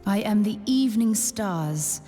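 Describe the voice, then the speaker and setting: Actress as a slow, solemn fantasy woman. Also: mid-range voice, expressive, warm tone, soft background music, natural voice. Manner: measured, incantatory recital. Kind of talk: scripted video game voice acting.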